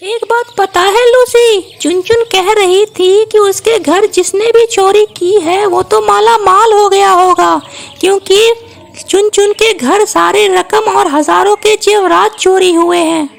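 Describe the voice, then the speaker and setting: A woman's voice: extremely high pitch, steady tone, slow pace, vocal fry, full character voice. A woman voicing a brave, clever, kind young female bird in a children's cartoon, speaking in a reassuring, decisive way. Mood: scheming, composed. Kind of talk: Hindi children's cartoon voice acting.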